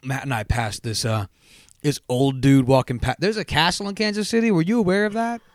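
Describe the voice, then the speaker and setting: A man co-hosting a casual podcast, hoarse-voiced, telling stories animatedly and swearing freely. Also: fairly high pitch, fairly even intonation, brisk, dry recording, studio quality. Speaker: podcast co-host. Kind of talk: casual chat.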